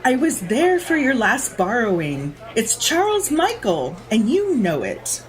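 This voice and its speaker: Brash, slow-drawling woman. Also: on the high side, huge pitch swings. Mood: knowing, impatient. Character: plump, brash, greedy thirty-year-old woman, inhabited by a man's soul. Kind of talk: audio drama acting. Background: faint music.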